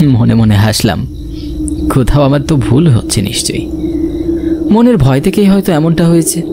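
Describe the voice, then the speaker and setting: A man's voice: medium pitch, heavy background noise. A man giving a dramatic, suspenseful first-person narration of a scripted horror story. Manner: expressive, suspenseful. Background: music.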